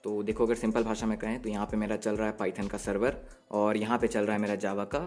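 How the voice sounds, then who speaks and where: A man's voice: fairly low pitch, flat delivery, brisk, loud music behind the voice. A man with an Indian accent giving an informal, offhand code walkthrough, talking to viewers like friends. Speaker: coding tutorial presenter.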